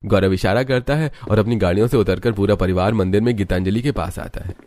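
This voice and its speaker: A man's voice: lowish voice, a little fast, darkish tone. Male story narrator over background music. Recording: music under the voice.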